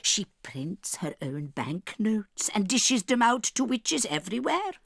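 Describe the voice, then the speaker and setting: A woman's voice: mid-range voice, big pitch swings, measured pace, gravelly, no room sound, clean studio sound, heavily acted. An actress playing a protective old grandmother, a solemn and dramatic storyteller in a dramatized audiobook. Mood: grave, relishing.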